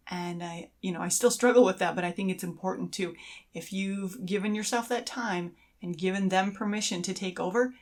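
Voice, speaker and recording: A woman's voice: mid-range voice, fairly quick. A woman co-hosting a podcast in casual chat, speaking in a reflective, encouraging way. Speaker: podcast co-host.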